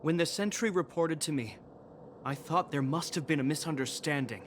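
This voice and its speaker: A man's voice: a little high, moderate pace, slight background noise, close to an everyday voice. A man playing a dutiful young guard captain in a video game, speaking in a formal, commanding, grave way. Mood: grave, disappointed. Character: stern, dutiful young male guard captain, wounded but unyielding. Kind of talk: dramatic video game voice acting. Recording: game music under the voice.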